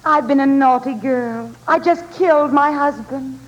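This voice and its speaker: Slow, high-voiced glamorous femme fatale. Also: female voice. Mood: sultry, deadpan. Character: glamorous, coolly deadpan female femme fatale client. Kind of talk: 1940s radio detective spoof acting. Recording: muffled, very noisy 1940s radio.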